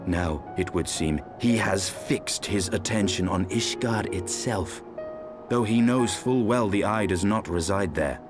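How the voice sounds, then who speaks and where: An actor playing a grave, world-weary noble knight in a fantasy video game, with formal, archaic speech. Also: male voice, on the low side, measured pace, music in the background, natural voice. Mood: grave, resolute.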